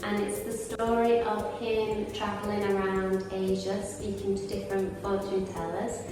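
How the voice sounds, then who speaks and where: Woman, teacher, slow, flat delivery, echoey distant recording. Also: medium pitch. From Blackpool, northwest England. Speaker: English teacher. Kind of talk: casual talk to camera. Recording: echoey room, mic far off, music behind.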